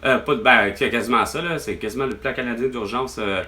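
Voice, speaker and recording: A man's voice: mid-range voice, brisk, bright, forceful tone. A man with a Québécois accent, venting to his mother on a phone call, rambling and exasperated, swearing often. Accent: Québécois French. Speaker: vlogger.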